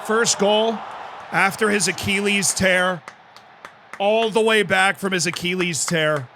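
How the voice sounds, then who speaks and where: An American man live-streaming a game, commenting excitedly, shouting at big moments and cracking jokes in between. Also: high voice, slow pace, slight background noise. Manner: excitable, shouts at goals, jokey asides.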